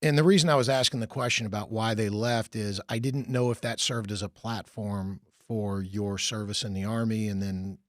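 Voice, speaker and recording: Pembawa acara podcast pria, suara kering tanpa gema, pertanyaan bertele-tele. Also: male voice, fairly low pitch, steady tone, moderate pace, few pauses, no echo, clean studio sound. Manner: rambling, many restarts and fillers when asking questions. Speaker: podcast host. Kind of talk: scripted intro narration and casual interview.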